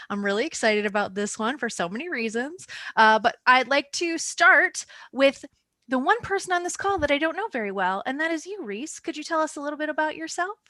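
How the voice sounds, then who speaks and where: A woman hosts a roundtable over a video call, talking in an upbeat, chatty way. Her voice is bright and a touch high, recorded dry and close. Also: no room sound. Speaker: moderator. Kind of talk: online roundtable hosting.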